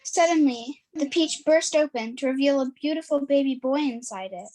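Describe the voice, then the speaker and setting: A high-pitched female narrator reads a folktale aloud, announcing scenes and telling the story. It is scripted storytelling in an amateur reading. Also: moderate pace, darkish tone, rough recording.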